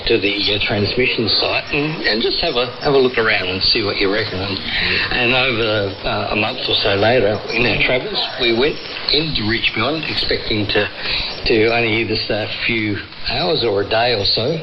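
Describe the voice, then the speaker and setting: An Australian man in his sixties speaking hesitantly in an unscripted radio interview, with frequent pauses. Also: lowish voice. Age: 60s. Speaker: interviewee, volunteer frequency coordinator. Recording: noisy muffled shortwave radio reception.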